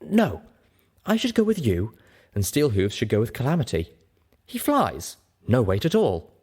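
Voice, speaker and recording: A man's voice: medium pitch, huge pitch swings, unhurried, darkish tone, breathy edge, voice pushed up, exaggerated voice. A man voicing a refined, haughty and sensitive female unicorn singer, prim and dramatic, in a story reading. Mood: insistent.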